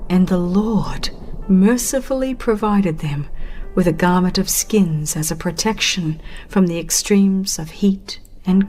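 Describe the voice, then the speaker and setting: A woman reading a religious book as a solemn, measured audiobook narrator with dramatic phrasing. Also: medium pitch, darkish tone.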